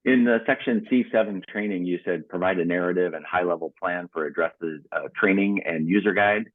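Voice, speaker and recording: A man's voice: low voice, a little fast, no echo. A man asking questions informally as a prospective bidder on a conference call. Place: remote phone or call connection. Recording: narrow, tinny phone-like call audio.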